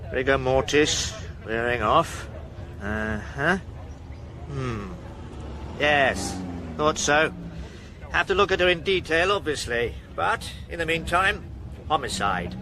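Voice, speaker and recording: An actor playing a brisk, impatient police surgeon in a radio crime drama, brusque and muttering. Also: male voice, medium pitch, lively intonation. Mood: musing, matter-of-fact. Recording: faint outdoor traffic noise.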